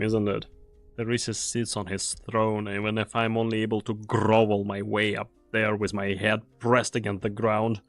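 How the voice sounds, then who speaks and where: A man playing a weary, fatalistic mercenary in an anime game fan dub, speaking gravely and deliberately. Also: lowish voice, no room sound.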